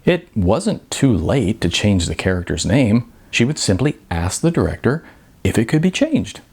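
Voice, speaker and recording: A man reading his own novel as an audiobook narrator, in an expressive, conversational way with some hesitations. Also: on the low side, normal speed, slightly rough.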